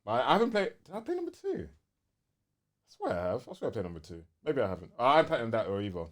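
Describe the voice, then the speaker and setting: A man with a London accent co-hosting a gaming podcast in casual, slangy, animated chat. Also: medium pitch, quick pace. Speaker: podcast co-host.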